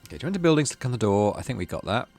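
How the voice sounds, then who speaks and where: Casting: male let's play host, mid-pitched, highly animated reading, casual asides, music underneath. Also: racing, dry recording. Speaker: gaming video host. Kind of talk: let's play narration, reading game text aloud.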